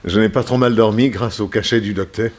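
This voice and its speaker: An actor playing a trusting, excitable businessman in a 1960s radio mystery serial, with a hesitant, exclamatory delivery. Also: lowish voice, a little fast, lightly acted. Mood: subdued. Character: wealthy businessman father, excitable, trusting, stubbornly naive.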